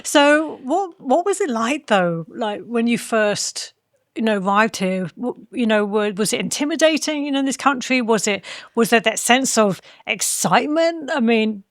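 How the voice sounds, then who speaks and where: Woman interviewer, slightly high, dry. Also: animated, moderate pace, no echo. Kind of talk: casual interview chat.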